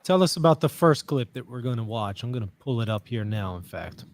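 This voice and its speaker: A man from Louisiana hosting a casual talk show, easygoing and quick to laugh. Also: mid-range voice, expressive, dry recording. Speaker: podcast host. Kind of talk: casual chat.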